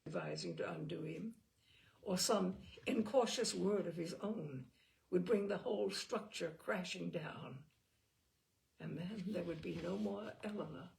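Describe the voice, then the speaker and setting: Creaky-voiced woman narrating a novel. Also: on the low side, darkish tone. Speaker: audiobook narrator. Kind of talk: literary fiction reading, expressive.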